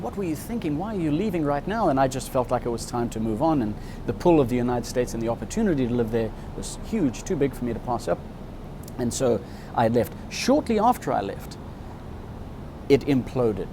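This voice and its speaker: A man's voice: medium pitch, background noise. A man in his fifties from Zimbabwe, telling his life story in an interview in a candid, reflective way. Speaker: interviewee, subscriber.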